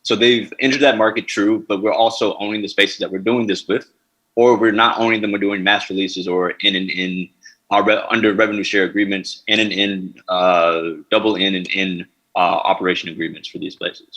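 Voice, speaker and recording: Male founder, lowish voice, halting Q&A answers full of fillers. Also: fairly even intonation, fairly bright tone, rough recording. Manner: halting, many fillers and restarts. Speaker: startup founder pitching. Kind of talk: answering investor questions.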